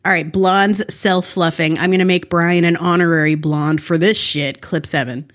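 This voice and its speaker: A woman's voice: fairly low pitch, fairly even intonation, normal speed. A woman co-hosting a casual TV recap podcast, talking in a wry, snarky way. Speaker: podcast co-host.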